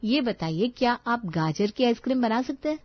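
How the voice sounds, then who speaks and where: A woman voicing a pampered, spirited young daughter-in-law in a story, animated and questioning. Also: on the high side, moderate pace, no echo, highs cut off, pitched above the performer's own voice. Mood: confident, determined.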